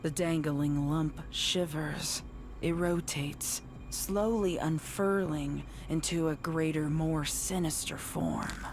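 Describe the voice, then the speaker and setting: A woman reading dark horror fiction as scripted narration. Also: low-pitched, slow, slight background noise.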